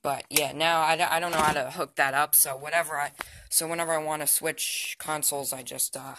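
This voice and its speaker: A man's voice: fairly high pitch, steady tone, fairly quick, sharp tone, slight background noise. A young male, teenage or barely adult, giving a casual, unscripted show-and-tell in a hesitant, rambling way that often trails off. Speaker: amateur vlogger. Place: indoors at home, by the TV.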